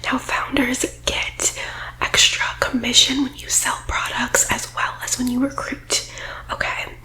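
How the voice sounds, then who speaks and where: A woman whispering breathily in a persuasive ASMR roleplay, like a team leader giving a sales pitch, close to the microphone. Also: rough recording.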